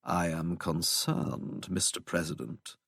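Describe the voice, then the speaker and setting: A man voicing a smug, unflappable English gentleman villain for an audiobook, with a posh, droning, mock-courteous manner. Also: deep voice, steady tone, slow pace, breathy edge, no echo, clean studio sound, voice pushed down, full character voice. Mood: quietly menacing. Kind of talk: audiobook character voice.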